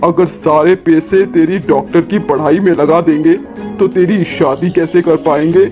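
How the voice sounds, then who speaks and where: Man playing a high-voiced stern father bird. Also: fairly even intonation, moderate pace, muffled recording, close to an everyday voice. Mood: worried. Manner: authoritative, admonishing. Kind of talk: children's cartoon voice acting. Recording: loud music behind the voice.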